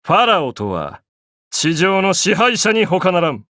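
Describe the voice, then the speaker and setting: Pompous pharaoh king for an anime game, higher male voice, commanding, very dry close recording. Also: big pitch swings, measured pace, sharp tone, dry recording, exaggerated voice. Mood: grand, haughty. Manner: imperious, declamatory, pompous. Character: arrogant, grandiose pharaoh, a regal young man.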